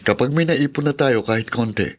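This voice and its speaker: A man's voice: mid-range voice, a little fast, close to an everyday voice. A man playing a steadfast, loyal young student, earnest and reassuring, in a Filipino radio drama. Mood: determined.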